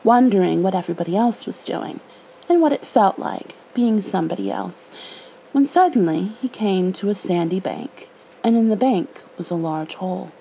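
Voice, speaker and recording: A woman narrating a children's storybook in a soft bedtime ASMR style. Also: mid-range voice, expressive, moderate pace, vocal fry, a little hiss or hum, poor audio.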